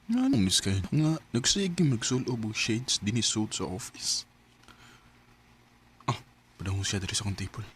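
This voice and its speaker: A man's voice: lowish voice, expressive, moderate pace, pauses often, fairly bright tone, slight background noise. A man acting in a radio drama as an earnest young man fond of his best friend, with a Cebuano Bisaya Filipino accent. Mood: concerned, teasing. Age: young adult.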